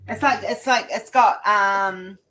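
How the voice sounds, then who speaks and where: A woman's voice: medium pitch, slow, sharp tone. A woman talks casually on a live stream. She is chatty and self-deprecating, laughing easily as she goes. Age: 40s. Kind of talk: casual chat.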